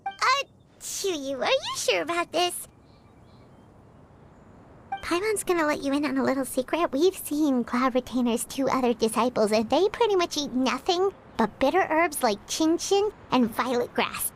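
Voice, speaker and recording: A woman voicing a tiny, chatty, food-loving fairy companion in a video game, squeaky and cutesy. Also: expressive, a little slow, a little hiss or hum. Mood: teasing, doubtful. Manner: cutesy, speaks of herself in third person.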